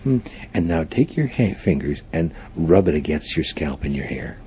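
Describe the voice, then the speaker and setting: A man with a very deep, dark and mellow voice leads a guided meditation. His intonation swings widely as he gives formal, deliberate instructions, dotted with thoughtful hums. It is a live recording with faint noise behind him. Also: very animated. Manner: formal, deliberate phrasing punctuated by frequent hums.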